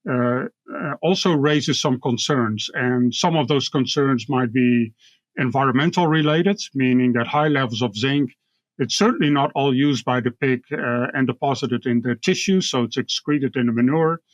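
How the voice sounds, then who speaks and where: A man with a Dutch accent explaining things as an expert guest in an interview, measured and halting, often repeating words. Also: medium pitch, no room sound, highs cut off. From Netherlands. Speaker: guest expert, university professor.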